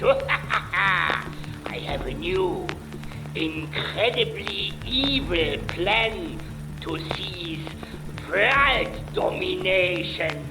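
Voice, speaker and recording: A woman playing a gloating, theatrical supervillain doctor in a motion comic, menacing and drawn-out, with an echo on the voice. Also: fairly low pitch, lively intonation. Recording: echoey, music behind the voice.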